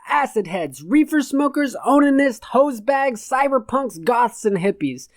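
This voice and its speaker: A woman's voice: medium pitch, a little mellow, no room sound, studio quality. A woman voicing a mischievous, irreverent cult preacher and jester, declaiming in mock-sermon style for an audiobook.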